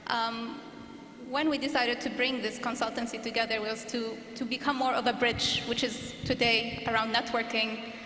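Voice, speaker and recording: A woman from Lebanon, an education consultant, speaking on a conference panel in a measured, explanatory way. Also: fairly high pitch, level tone, bright, forceful tone. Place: conference hall. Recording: echoey hall with background noise.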